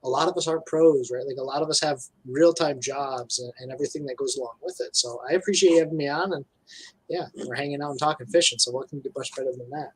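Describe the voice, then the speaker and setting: Man around thirty, husky mid-range voice, casual guest chat, laid-back slangy delivery. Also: medium pitch, fast, low-quality recording. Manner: laid-back, colloquial, peppered with "like" and "dude". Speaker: guest angler.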